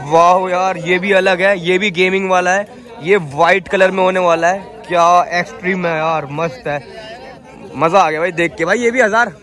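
A young man vlogging from a street market on his phone, chatty and excited, then talking at home. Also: sits high. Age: young adult. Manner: excited, chatty, keeps saying "bhai". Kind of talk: casual market vlog. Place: crowded outdoor street market, later a bedroom. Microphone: phone held at arm's length.

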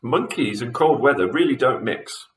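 A man's voice: medium pitch, animated, normal speed, distant mic, natural voice. A man voicing a knowing bird in a children's storybook, giving emphatic warnings in a roomy space. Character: knowing, cautionary male roly-poly bird.

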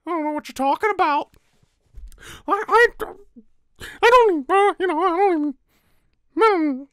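Lady doing a super high little cartoon flower, bitter and sweary, sarcastic, really dry close sound. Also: normal speed, a bit bright, no room sound. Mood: defensive, sullen. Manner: sarcastic, cursing, stammering when cornered. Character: small bitter foul-mouthed talking flower, emotionally numb and angry. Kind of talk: voice-acted fan roleplay drama.

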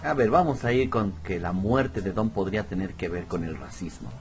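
A man's voice: on the low side, normal speed, a little hiss or hum. A man giving casual gameplay commentary, thinking aloud and muttering to himself. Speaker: gamer, video host.

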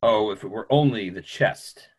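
A man's voice: fairly low pitch, moderate pace, darkish tone, husky. A middle-aged man playing a grave, courteous doctor in a play reading, formal and emphatic. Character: grave, courteous male doctor, firm in his opinions. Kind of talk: remote script reading of a stage play. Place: small room at home, video call.

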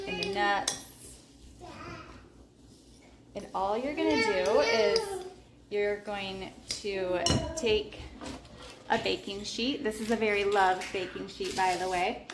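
A woman's voice: mid-range voice, very noisy. Woman chatting thru her meal prep, lots of ums, roomy kitchen echo w dishes clanking. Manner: chatty, relaxed, many ums and justs. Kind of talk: casual cooking vlog narration. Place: home kitchen. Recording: echoey kitchen with clattering dishes. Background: clinking cutlery, dishes and pans.

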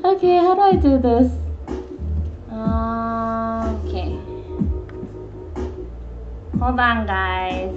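A young woman with a high voice talks at an unhurried, slow pace, hosting a casual live cooking stream. She wanders informally through her chat, and the sound is echoey, as from a webcam across a table at home. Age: young adult. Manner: informal, meandering, greeting viewers. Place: small home room, indoors at a table. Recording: echoey, dull webcam stream, background music.

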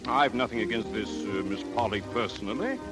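A man's voice: lowish voice, brisk, natural voice. An actor playing a stuffy, wealthy, snobbish man with a formal, pompous, hesitant manner, in 1930s radio style. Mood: haughty. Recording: 1930s radio recording with music.

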